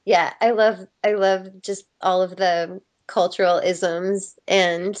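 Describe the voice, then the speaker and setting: A woman's voice: on the low side, flat delivery, slow. A woman being interviewed as a guest, talking in a thoughtful, friendly way and laughing often. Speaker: voice actress guest. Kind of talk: casual interview chat.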